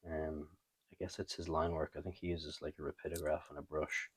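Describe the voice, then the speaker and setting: A man hosting a comic book review in a casual, unscripted way, thinking aloud with halting, trailing pauses. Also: a bass voice, level tone, husky, no room sound. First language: not French. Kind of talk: casual unscripted show-and-tell commentary.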